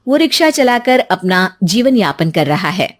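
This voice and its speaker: A woman's voice: medium pitch, big pitch swings, moderate pace. A woman narrating a scripted story as its storyteller.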